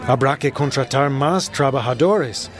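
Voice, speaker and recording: A man acting as an arrogant, greedy foreign businessman in a radio drama. He talks slowly and deliberately, full of contempt, with music clearly behind him. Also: medium pitch, a crowd in the background, close to an everyday voice. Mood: calculating.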